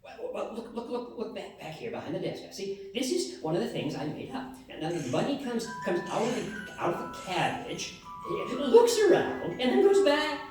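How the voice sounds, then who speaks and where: A woman's voice: a little high, big pitch swings, normal speed, darkish tone, faint music behind. A woman playing a timid, flustered male clerk in a live radio play, stammering nervously. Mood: shyly excited. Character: timid, flustered male office clerk who invents gadgets. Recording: very echoey hall, distant microphone.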